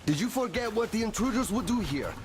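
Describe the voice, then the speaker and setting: A high-voiced young pirate from a video game, stubborn and quick to quarrel. His pitch swings widely as he argues scornfully. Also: animated, slight background noise. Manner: combative, scornful.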